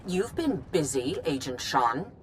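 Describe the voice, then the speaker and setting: A woman's voice: deep voice, very animated, a little slow, a little hiss or hum. An actress playing a stern female head of state in a game, commanding and condescending in clipped phrases. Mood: cold reproach. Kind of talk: video game cutscene acting.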